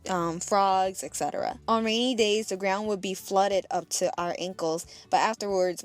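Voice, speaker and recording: A young American woman from the United States, narrating her childhood in a chatty voice-over and laughing at herself along the way. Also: medium pitch. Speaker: vlogger. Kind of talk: casual storytelling voice-over.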